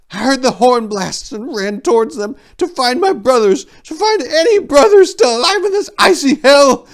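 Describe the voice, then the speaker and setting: An anguished, resentful warrior monk acted by a male storyteller, his voice pushed far higher and louder into raw strain. He sounds like he is barely holding in his rage, in a dramatic storybook style. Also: full character voice. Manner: anguished, straining, bursting into shouts.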